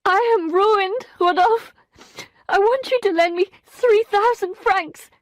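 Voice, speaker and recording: A woman's voice: a little high, unhurried, no room sound, voice pushed up, natural voice. A woman reading an audiobook, voicing a proud young wife in distress, bitter and pleading. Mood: desperate. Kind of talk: audiobook character voice.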